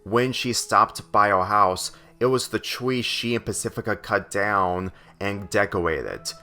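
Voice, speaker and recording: Male story reader, unhurried and a bit halting, forceful bright tone. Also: fairly low pitch, faint music behind. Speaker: fan fiction reader. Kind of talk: scripted story narration, reading aloud.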